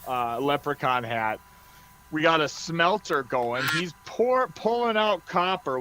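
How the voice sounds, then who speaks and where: A man hosting a hobby livestream, chatting casually in an excitable, rambling way. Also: fairly high pitch. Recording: steady background noise.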